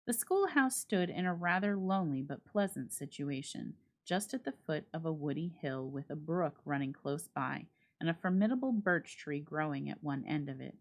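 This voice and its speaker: Female narrator, low register, for dramatic reading of classic fiction; measured and expressive with formal phrasing. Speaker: narrator reading a classic short story aloud.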